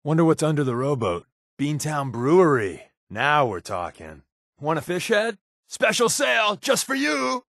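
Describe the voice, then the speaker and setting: A wisecracking spy, animated and dry. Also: male voice, a little high, measured pace, gravelly, no echo, heavily acted. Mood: musing, then playful. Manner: dry, deadpan, joking delivery. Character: wisecracking sardonic male spy companion in a wasteland. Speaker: voice actor. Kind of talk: video game companion dialogue.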